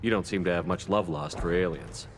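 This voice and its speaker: A man voices a businesslike human commander in a video game. His voice is low and steady, with direct, matter-of-fact delivery and a long reverb around it. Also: fairly quick, lightly acted. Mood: direct, inquiring. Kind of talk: video game character acting. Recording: long reverb, faint ambient noise.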